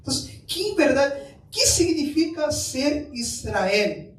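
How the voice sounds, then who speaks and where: Slow-speaking Brazilian preacher in an echoey room. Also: male voice, extremely high pitch, sing-song. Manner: mixes Portuguese and Spanish, asks the congregation questions. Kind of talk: sermon. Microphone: far from the mic. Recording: echoey room, mic far off, faint noise.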